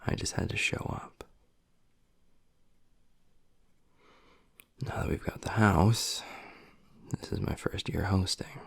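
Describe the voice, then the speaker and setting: Casting: male actor as gruff young werewolf boyfriend for intimate audio roleplay, low-pitched, heavily fried voice, tender and breathy. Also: steady tone, no room sound, exaggerated voice. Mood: wistful, tender. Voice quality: husky, gravelly, breathy. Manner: low, halting, half-whispered delivery.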